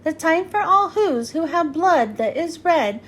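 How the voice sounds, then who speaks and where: A woman's voice: very high voice, animated, a little slow, slight background noise. A woman voicing a tiny, anxious male mayor in a storybook, urgent and declamatory. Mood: grave, rallying.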